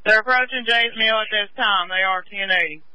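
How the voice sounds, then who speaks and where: A man's voice: high voice, brisk, no room sound, lo-fi sound. A male police dispatcher relaying pursuit updates in short radio calls.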